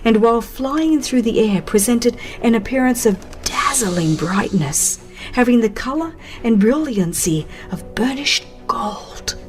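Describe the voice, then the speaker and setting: A woman narrating a scripted religious audiobook in a solemn, deliberate, dramatized way with expressive phrasing. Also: a little high, faint music behind.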